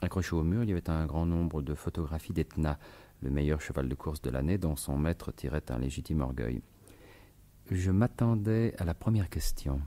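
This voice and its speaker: Deep-voiced, self-assured gentleman thief. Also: normal speed. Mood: composed narration. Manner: assured, articulate, formal phrasing. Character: confident gentleman thief turned investigator, wry and probing. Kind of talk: French radio mystery drama acting in 1950s manner.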